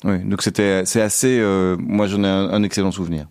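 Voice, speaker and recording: A very low-pitched man in his forties, raised in Belgium, answering questions as a radio guest. His voice is dry and close, with no room sound. He speaks in measured phrases, breaking them with frequent filler pauses. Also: steady tone, a little mellow, dry recording. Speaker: guest, finance entrepreneur. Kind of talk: radio interview.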